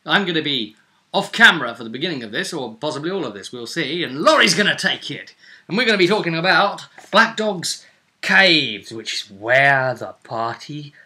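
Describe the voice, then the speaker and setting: A man's voice: on the high side, big pitch swings, normal speed, bright, forceful tone. A man hosting a vidcast, chatting casually as he introduces the show. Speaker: vidcast host.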